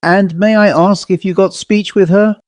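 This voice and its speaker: Man voicing a deferential, guarded servant, mellow. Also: high voice, steady tone, no echo, pitched above the performer's own voice, natural voice. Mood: deferential, uneasy. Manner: deferential, measured. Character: deferential, guarded male servant, secretive and polite. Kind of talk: audiobook character voice.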